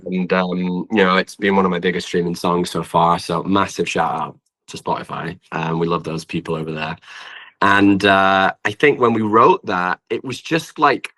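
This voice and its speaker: A man from the north of England talks casually as a guest songwriter, with a northern English accent. His voice is deep yet bright and forward. He speaks in loose, rambling, informal sentences. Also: steady tone, moderate pace. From Preston, north of England. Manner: rambling, informal, often says "like". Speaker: guest singer-songwriter and producer. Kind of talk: casual interview chat.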